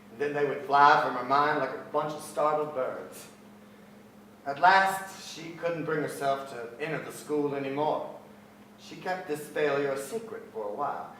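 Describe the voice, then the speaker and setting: A man's voice: mid-range voice, a bit bright, close to an everyday voice. Man as a reflective poet narrator, very echoey. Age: middle-aged. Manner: measured, wry, reflective. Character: reflective young poet son narrating family memories. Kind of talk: literary narration in staged reading. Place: large theatre stage, music stand, indoors. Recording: very echoey theatre, distant, noisy ambience.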